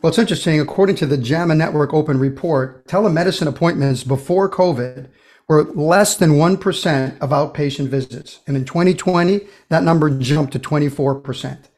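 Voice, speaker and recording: Male podcast co-host with a high-pitched voice, scripted presenting style. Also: fairly quick, a little mellow. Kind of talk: scripted podcast introduction and questions.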